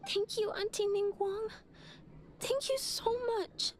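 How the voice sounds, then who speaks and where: A woman's voice: very high voice, slow, a little hiss or hum. A woman voicing a sweet, timid little girl in a video game, hesitant and apologetic. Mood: touched, grateful.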